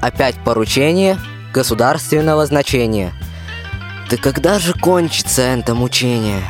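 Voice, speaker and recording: A man's voice: mid-range voice, animated, normal speed, over music, lightly acted. A male performer playing a wry narrator who tells a radio fairy tale in folksy rhyme. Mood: wry storytelling. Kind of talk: folk jester storytelling in rhyme.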